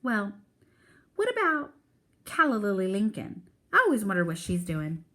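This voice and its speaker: A woman's voice: mid-range voice, huge pitch swings, moderate pace, pushed and bright. A woman voicing a fussy, chatty, easily dismayed mother who exclaims and questions in a storybook read-aloud.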